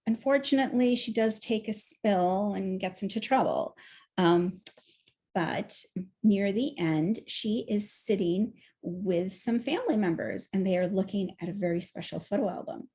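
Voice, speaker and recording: A woman's voice: medium pitch, unhurried, warm tone. A woman in her forties, a children's author presenting a picture book to young viewers. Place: small room at home.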